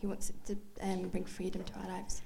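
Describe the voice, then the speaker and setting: A woman interpreting a sermon phrase by phrase, with fillers and quick self-corrections. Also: on the low side, completely flat delivery, vocal fry, warm tone. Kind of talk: live consecutive interpreting of a sermon.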